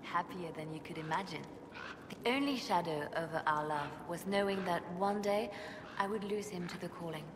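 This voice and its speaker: Woman playing a low, composed spymaster, very echoey. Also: moderate pace, noisy background, lightly acted. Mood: tender, wistful. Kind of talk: scripted fantasy game dialogue.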